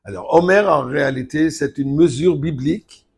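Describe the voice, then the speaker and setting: An older man, a rabbi, giving a Torah lesson, emphatic and at times forceful. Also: on the high side, normal speed, creaky, breathy edge. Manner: emphatic, rising to forceful outbursts.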